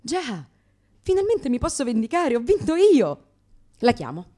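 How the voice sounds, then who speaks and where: Woman acting a sardonic, very animated young mother. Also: sits high, a little slow. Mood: reluctantly tender, wry. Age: 30s. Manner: sarcastic, emphatic, brash. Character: sardonic, foul-mouthed, exasperated new mother in her thirties. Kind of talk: theatrical comic monologue for radio theatre.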